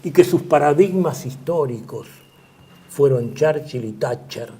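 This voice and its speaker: An older man with an Argentine Spanish accent giving measured political commentary as an interview guest, trailing off at the ends of phrases and filling pauses with "este". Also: mid-range voice, expressive, dark tone, breathy edge.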